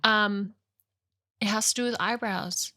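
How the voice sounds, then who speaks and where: A woman in her thirties with a bright, forceful voice, chatting on a podcast mic. She is blunt and teasing, and she swears casually as she goes. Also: medium pitch, steady tone, measured pace. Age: 30s. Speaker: podcast co-host. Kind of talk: casual chat. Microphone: podcast microphone.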